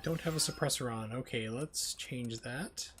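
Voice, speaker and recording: A man's voice: medium pitch, moderate pace, noisy background. A man streaming a video game with casual, chatty live commentary, explaining mechanics in asides.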